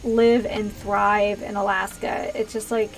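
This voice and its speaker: A woman's voice: mid-range voice, level tone, unhurried, slight background noise, rough recording. A woman with an American accent, a streamer from the US East Coast, chatting casually with viewers in a hesitant, rambling way, thinking aloud. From US East Coast, Pennsylvania. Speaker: video game streamer and host. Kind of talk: casual livestream chat with viewers.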